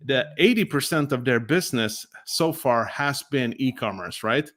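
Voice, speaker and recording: A man's voice: mid-range voice, lively intonation, moderate pace, a bit bright. A man co-hosting a casual investor livestream, rambling and often ending phrases with a questioning tag. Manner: rambling, frequent "right?" tags. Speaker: co-host.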